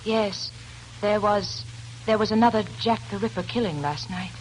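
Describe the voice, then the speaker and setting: An actress playing a confident, affectionate young dancer in a 1940s radio drama. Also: female voice, medium pitch, moderate pace, natural voice. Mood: bright, breezy. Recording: slightly noisy old radio broadcast.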